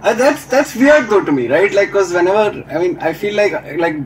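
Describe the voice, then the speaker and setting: A man's voice: high voice, very animated, normal speed, a little hiss or hum, low-quality recording. Chatty man hosting a podcast. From India. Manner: chatty, many fillers and restarts. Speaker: podcast host. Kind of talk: casual podcast chat.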